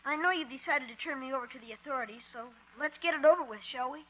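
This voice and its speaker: A woman's voice: sits high, fast, a bit bright. A woman playing a prim, precocious runaway boy who speaks with formal, very proper diction, in 1950s radio sitcom style. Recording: muffled hissy 1950 radio transcription.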